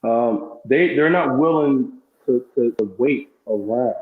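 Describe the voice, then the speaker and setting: A man's voice: mid-range voice, slow pace. A man chatting casually and giving his opinions in a rambling way, repeating phrases and swearing now and then. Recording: echoey, dull lo-fi sound.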